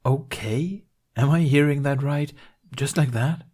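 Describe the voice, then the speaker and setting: A man voicing an excitable, cheeky teenage boy in a storybook reading, eager and a little hesitant. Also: mid-range voice, unhurried, slightly rough, pitched above the performer's own voice. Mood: surprised.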